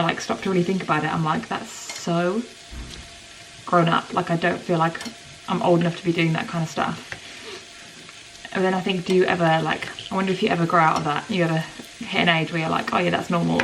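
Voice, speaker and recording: A woman's voice: lowish voice, gravelly, a bit bright, a little hiss or hum. A woman in her twenties chatting casually and rambling to camera in her home kitchen while cooking dinner, filling her talk with "like". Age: 20s. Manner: rambling and chatty, lots of "like" fillers. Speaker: vlogger. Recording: camera mic from a distance, sizzling pan. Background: frying food sizzling.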